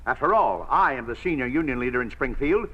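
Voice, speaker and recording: An actor playing a self-important senior union leader in a 1950s radio drama, aggrieved and pompous. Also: male voice, medium pitch, lively intonation, lightly acted. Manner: aggrieved, pompous, quick-firing. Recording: dull old 1950s radio recording.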